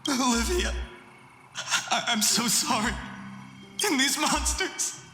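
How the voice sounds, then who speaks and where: An actor playing a frightened, grief-stricken young boy in a fantasy game, halting, pleading and distraught. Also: high voice, lively intonation, sharp tone, heavily acted. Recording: echoing reverb, noisy ambience behind.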